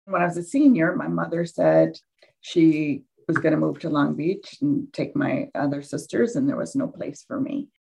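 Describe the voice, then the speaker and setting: A woman shares her own story candidly. Her voice is very low, husky and gravelly. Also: normal speed, darkish tone, rough recording. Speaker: interview guest. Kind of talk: personal testimony.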